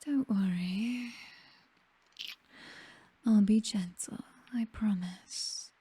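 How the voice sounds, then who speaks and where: Dark, whispering British vampire mistress, acted by a woman. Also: mid-range voice, no room sound, full character voice. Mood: gentle, possessive. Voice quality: soft, breathy whisper. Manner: slow, coaxing, hypnotic, teasingly commanding. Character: seductive, possessive vampire mistress, dominant yet tender. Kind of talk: whispered ASMR roleplay.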